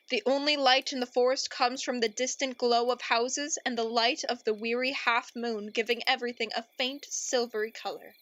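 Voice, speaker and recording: A woman's voice: fairly high pitch, a bit bright, no room sound, close to an everyday voice. A woman playing a melodramatic, easily exasperated narrator in a radio play, grandiose and theatrical. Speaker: narrator and host.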